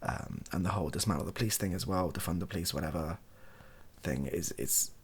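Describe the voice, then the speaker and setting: A young man speaking softly and breathily in a casual, rambling monologue, hesitant and self-deprecating as he gives his opinions. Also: low voice, brisk. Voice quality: soft, husky, breathy. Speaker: online video creator giving opinions.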